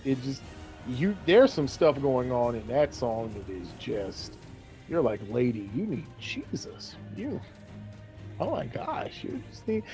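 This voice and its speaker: A man's voice: on the high side, dark tone. A man co-hosting a casual sports show, bantering playfully and repeating phrases for emphasis.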